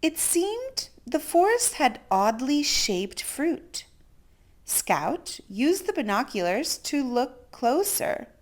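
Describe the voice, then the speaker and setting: Very slow, animated woman reading to children. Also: high-pitched. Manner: theatrical, with gasps and drawn-out emphasis. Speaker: children's story reader. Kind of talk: expressive picture-book read-aloud.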